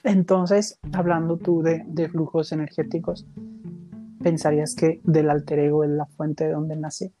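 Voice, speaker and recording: A woman's voice: low voice, flat delivery, a little slow, dark tone, faint music behind. A woman in a casual, reflective conversation, thinking aloud and searching for her words. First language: Spanish. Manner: musing, hesitant, searching for words.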